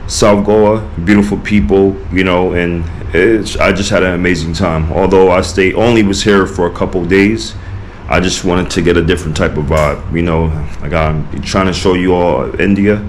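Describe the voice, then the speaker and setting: A man's voice: low voice, slight background noise. An American man from New York, talking casually to camera as a travel vlogger on a beach, loose and chatty with lots of filler words. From United States, New York. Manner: loose and chatty, many "you know" and "like" fillers. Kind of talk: casual vlog chat to camera. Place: outdoors on a beach.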